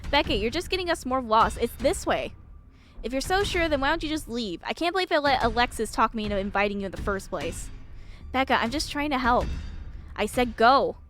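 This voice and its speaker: A woman's voice: a little high, lively intonation, a little fast, a bit bright, soft background music. A woman voicing bickering, frightened, defensive teenagers in a video game story. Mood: angry. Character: bickering, scared, defensive teenagers lost in a mine.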